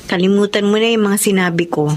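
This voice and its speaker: A woman playing a caring, self-sacrificing young elder sister in a radio drama, gentle and emotional, with a Filipino accent. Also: medium pitch, fairly even intonation, fast talker, slight background noise, natural voice. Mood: caring, reassuring. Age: young adult.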